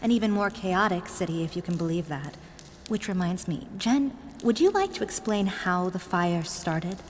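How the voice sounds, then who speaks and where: A woman playing a steady, frank, concerned fantasy adventurer in an audio drama. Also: fairly low pitch, moderate pace, close to an everyday voice. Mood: dry, pointed. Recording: reverberant, some background noise.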